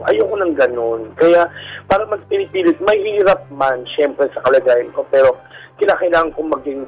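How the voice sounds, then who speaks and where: A middle-aged man with a Filipino accent and a husky voice, telling his personal story haltingly and candidly in a radio interview. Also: fairly high pitch, low-quality recording. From Philippines. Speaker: guest telling his life story, a stage performer. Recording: radio show with music bed. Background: background music.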